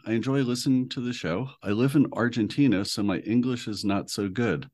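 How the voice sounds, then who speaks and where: Male podcast host from the US, low-pitched and easygoing. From United States. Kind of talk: casual podcast chat.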